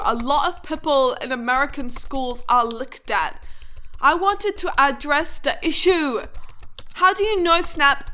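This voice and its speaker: A woman's voice: high-pitched, sharp tone, heavily acted. A woman playing a defensive, indignant teenage girl author reading out her notes in a cartoon.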